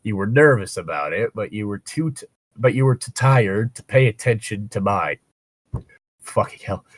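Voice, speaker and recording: A man's voice: lowish voice, animated, normal speed, dry recording. A man reading a story aloud as a co-host, slipping into casual, sarcastic, mock-exasperated asides. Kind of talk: read-aloud narration with casual joking commentary.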